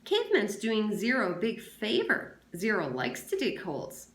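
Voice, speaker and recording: A woman's voice: medium pitch, huge pitch swings, normal speed, a bit bright. A woman voicing a sarcastic teenage camp boy from a storybook, goading with mock sincerity. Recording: echoey room sound.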